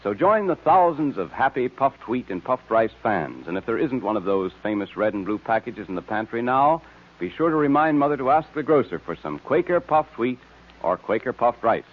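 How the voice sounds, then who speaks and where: Phone-like vintage radio sound, male announcer, medium pitch, polished scripted delivery. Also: big pitch swings, normal speed. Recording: tinny, narrow-band old radio recording.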